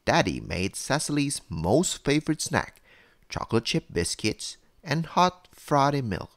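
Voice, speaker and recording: A man's voice: medium pitch, big pitch swings, measured pace, creaky, no echo, clean studio sound. A man reading a scripted bedtime story to children as the narrator.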